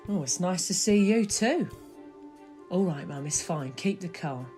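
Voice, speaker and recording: A woman's voice: lowish voice, lively intonation, a little slow, dark tone, faint music behind. A woman playing a young, easygoing, teasing granddaughter with a casual, placating manner in an audio drama. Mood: resigned.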